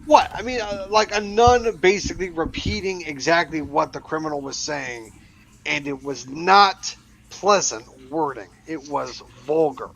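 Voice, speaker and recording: A man's voice: a little high, unhurried, gravelly, a bit bright, low-quality recording. A man co-hosting a podcast in casual chat, rambling with restarts and swearing. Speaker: podcast co-host.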